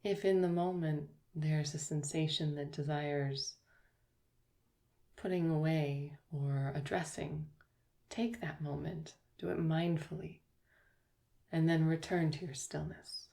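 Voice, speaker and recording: A woman yoga teacher guiding a yoga nidra relaxation, speaking slowly and softly with long pauses. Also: low voice, steady tone, creaky. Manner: slow, soft, soothing guidance with long pauses.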